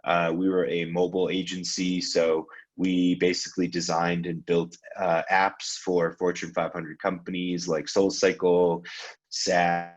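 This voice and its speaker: A man from Iran giving a casual presentation on a video call, relaxed and loose, with frequent ums and restarts. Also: low voice, a little slow, muffled recording. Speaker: guest speaker, venture capitalist.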